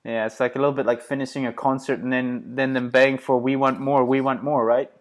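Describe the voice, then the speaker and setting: A man's voice: medium pitch, level tone. South African man hosting a podcast. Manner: brisk, upbeat, laughs often. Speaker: podcast host. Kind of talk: casual interview, rapid-fire questions.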